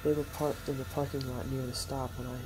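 A narrator reading a first-person true story in a measured way, pausing between short phrases. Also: mid-range voice, little pitch movement, over music, poor audio.

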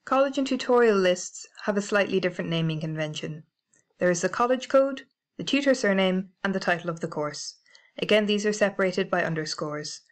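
A woman with a British accent narrating a library software tutorial, clear and methodical, spelling out codes letter by letter. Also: medium pitch, expressive, a little fast. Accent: British English. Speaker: library staff trainer. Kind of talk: instructional screencast narration.

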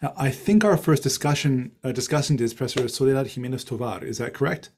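A man in his thirties or forties hosts a formal online panel. He speaks courteously in a middle-pitched voice, introducing and handing over to others from his office. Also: quick pace, darkish tone, slight background noise. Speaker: moderator. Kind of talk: formal event moderation. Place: small office. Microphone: headset on video call.

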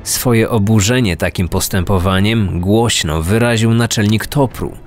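Low-voiced male true-crime narrator. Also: measured pace. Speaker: true-crime podcast host. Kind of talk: scripted documentary narration. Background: faint music.

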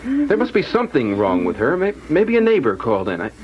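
An actor playing an uneasy, insistent emergency doctor in a 1970s radio horror play, urgent and stammering. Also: male voice, fairly high pitch, wildly swooping pitch, fairly quick. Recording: dull, very noisy archive radio recording.

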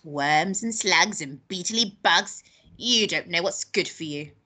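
A woman's voice: on the low side, slow pace, bright, forceful tone, slightly rough, heavily acted. A woman voicing a wicked, snappish little old grandmother in a storybook, bossy, scolding and relishing nasty things. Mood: gleeful, disgusting.

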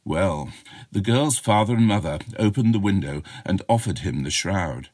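Deep, husky male storyteller. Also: expressive, moderate pace, darkish tone, no room sound, studio quality. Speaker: audiobook narrator. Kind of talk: dramatic scripted storytelling, chiller.

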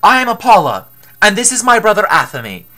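A woman voicing a determined young twin sister in a storybook, emphatic and excitable. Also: medium pitch, a little fast, pitched above the performer's own voice. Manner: emphatic, exclaiming.

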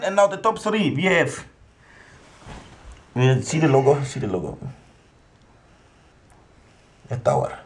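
A young man, speaking English as an in a halting, mock-serious way as he presents a ranking informally. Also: high-pitched. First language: not English. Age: young adult. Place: small indoor room at home.

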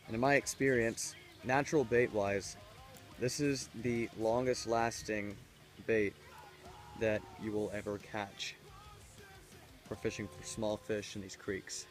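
A man's voice: on the low side, fairly even intonation, slow pace. A young man outdoors by a small country creek, explaining how to fish in a plain, matter-of-fact way into a handheld camera. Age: young adult. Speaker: fishing vlogger. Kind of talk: casual how-to narration. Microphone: handheld camera close by. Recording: music bed under the voice.

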